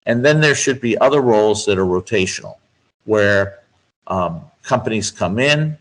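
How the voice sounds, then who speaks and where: A man giving measured, explanatory answers as a guest expert in a business interview, laying out points step by step with emphasis. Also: lowish voice, lively intonation, low-quality recording. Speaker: guest speaker, finance expert.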